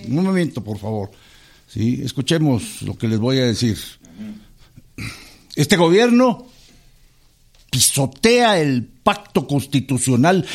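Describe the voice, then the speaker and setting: An actor playing an impassioned club president giving a declamatory, emphatic political speech in a radio drama. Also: male voice, a little high, full character voice. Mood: grateful, calming.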